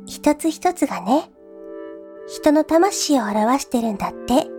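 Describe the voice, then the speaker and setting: A gentle big-sister girl, played by a woman, speaks high and sing-song, with a lively, rising and falling pitch. She talks slowly and tenderly, coaxing and comforting a little one. Soft new-age music plays clearly behind her. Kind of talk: voice drama.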